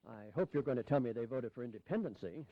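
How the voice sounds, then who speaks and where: An actor playing a frail, droll old delegate in an audio drama, grumbling with dry humour. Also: male voice, medium pitch, animated, fast talker, muffled recording, lightly acted. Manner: grumbling, dryly humorous.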